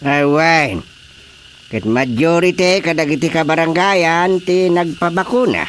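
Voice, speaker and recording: A man acting an unhurried, hesitant village chairman in a comedy radio drama, with an Ilocano accent. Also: on the high side, fairly bright tone. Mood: amused, agreeable.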